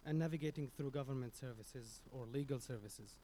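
A man from Syria with a Syrian Arabic accent, Arabic his first language, giving an earnest, halting public speech into a stage microphone as a community speaker. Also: medium pitch, warm tone, a little hiss or hum. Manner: earnest, halting, with many restarts.

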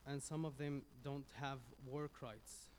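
A man from Syria with a Syrian Arabic accent, Arabic his first language, giving an earnest, halting public speech into a stage microphone as a community speaker. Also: mid-range voice, steady tone, a little mellow, a little hiss or hum. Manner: earnest, halting, with many restarts.

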